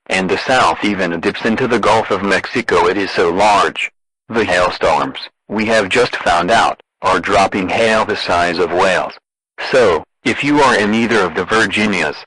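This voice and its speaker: A man reading a scripted emergency broadcast as an announcer, in a formal, deadpan manner. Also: fairly low pitch, no room sound, low-quality recording.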